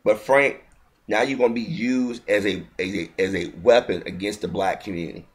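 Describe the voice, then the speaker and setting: A man's voice: fairly low pitch, fairly even intonation, moderate pace. Guy ranting into his camera, fired up and indignant, keeps restarting lines. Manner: heated, indignant, repetitive ranting with frequent restarts. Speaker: video commentator. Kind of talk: ranting video commentary.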